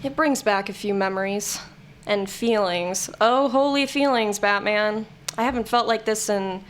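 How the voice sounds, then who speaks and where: A woman's voice: medium pitch, a little slow, clean tone, natural voice. A woman playing a jaded, blunt, sardonic character in an audio comedy-drama, with a dry delivery. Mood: testy, wry.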